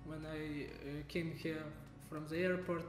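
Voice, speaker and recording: A young man from Uzbekistan speaking slowly and hesitantly about his first impressions. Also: a little high, little pitch movement. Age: young adult. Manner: slow, deliberate, hesitant. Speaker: international student. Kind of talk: casual interview. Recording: echoey room with music underneath.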